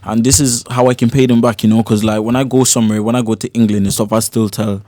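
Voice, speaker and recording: Fast-talking young man from Cork. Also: medium pitch, fairly even intonation, mellow, no room sound. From Cork, Ireland. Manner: laid-back, loose slang, keeps checking in with the listener. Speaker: guest musician. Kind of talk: casual interview chat. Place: small radio studio. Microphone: studio microphone on a desk stand.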